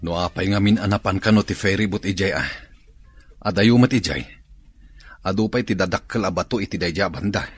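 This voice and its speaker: A man's voice: sits low, fairly quick, pauses often, slightly rough, faint music behind. A man with an Ilocano accent playing a worried, questioning islander in a radio drama.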